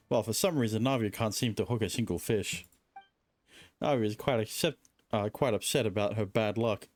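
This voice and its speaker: A man's voice: fairly low pitch, darkish tone, dry recording. A man streaming a game, reading the story aloud and joking between lines. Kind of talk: reading game dialogue aloud with casual commentary. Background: game music.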